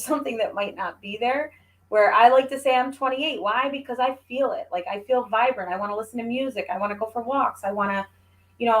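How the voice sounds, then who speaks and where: A woman's voice: fairly high pitch, poor audio. A woman in her forties chatting casually, talking things through in a reflective, explanatory way. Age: 40s. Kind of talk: casual chat.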